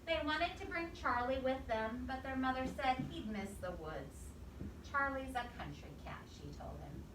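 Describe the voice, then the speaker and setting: A woman reading a storybook aloud to young children, expressive, pausing to ask simple questions and repeat answers. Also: sits high. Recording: echoey, distant mic, clear background noise.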